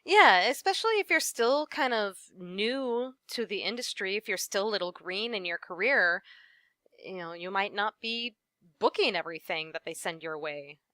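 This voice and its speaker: A woman voice actress talks shop in a relaxed interview, with a bright, crisp and very clear voice. She is friendly and laughs often, and sprinkles her talk with "you know." The recording is close and dry. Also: medium pitch, normal speed, dry recording. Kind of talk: casual interview chat.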